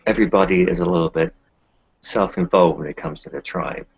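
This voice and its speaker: A man acts out an easygoing, polite tabletop werewolf-tribe character. The voice is very deep and husky, with measured delivery on a lo-fi recording. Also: full character voice. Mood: courteous, unruffled. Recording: dull lo-fi voice recording.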